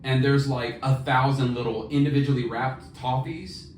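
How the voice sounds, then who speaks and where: A man with a medium-pitched voice chats casually as a podcast co-host. His talk is laid-back and slangy, like bantering with a buddy. He sits away from the mic in an echoey space with clear background noise. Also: fairly even intonation, moderate pace. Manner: laid-back slangy, says "dude" and "bro". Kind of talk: casual chat about books. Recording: very noisy, echoey room, distant mic.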